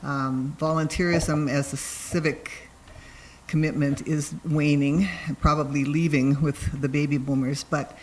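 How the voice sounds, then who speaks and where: A soft-spoken man making a public comment at a city council meeting. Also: on the high side, flat delivery, measured pace, slight background noise, low-quality recording. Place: council chamber.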